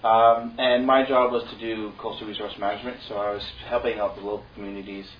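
A man's voice: on the low side, flat delivery, fast talker. A man telling an audience about his volunteer work, in an easygoing, plain-spoken way with fillers. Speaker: returned volunteer. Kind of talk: informal talk to an audience. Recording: echoey room, distant dull mic, noise.

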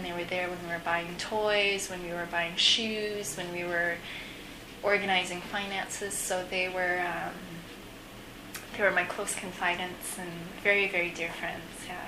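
A woman's voice: fairly low pitch, little pitch movement, moderate pace. Woman interviewee in an echoey, noisy recording. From Canada. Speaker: interview guest, returned overseas volunteer. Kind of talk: conversational interview answers. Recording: echoey room, clear background noise.

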